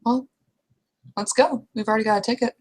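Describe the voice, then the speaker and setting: A woman playing an anxious young female restaurant manager in a roleplay, speaking in a hesitant, halting way. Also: fairly high pitch, steady tone, a little mellow, voice pushed up, close to an everyday voice. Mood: casual, curious. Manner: hesitant, halting, fragmented speech.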